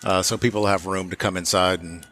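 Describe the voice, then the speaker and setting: A man with a fairly low voice talks as a show guest who runs a shop. He explains things in an informal, conversational way. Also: steady tone, brisk, fairly bright tone. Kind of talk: casual interview chat.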